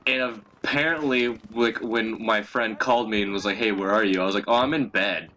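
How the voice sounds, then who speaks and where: Bright, low-voiced young man chatting. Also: steady tone, brisk, slight background noise. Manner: profane, crude teasing banter. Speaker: co-host. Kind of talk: casual storytelling chat over a game.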